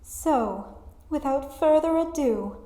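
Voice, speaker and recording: Woman as high, deliberate cartoon authority figure. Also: expressive, dark tone, echoey room. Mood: formal announcing. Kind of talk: animated fan drama acting.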